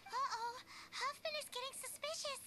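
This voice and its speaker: A woman voicing a tiny, excitable, childlike fairy companion in a video game, squeaky and exclamatory. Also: moderate pace, a little hiss or hum. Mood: alarmed. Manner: exclamatory, dramatic.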